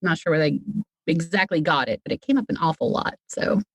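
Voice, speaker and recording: A woman's voice: deep voice, brisk, husky, no room sound. A woman chatting casually as a podcast guest, playful and self-deprecating, wandering off into wordy asides. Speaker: podcast guest, audio fiction creator.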